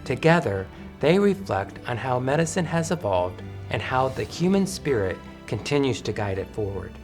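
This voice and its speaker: A man hosts a podcast in a smooth, announcer-like way, moving from a polished scripted opening to friendly questions. His pitch sits in a middle range, and soft guitar music plays quietly beneath him. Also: very animated, normal speed. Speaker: podcast host. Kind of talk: scripted introduction and interview.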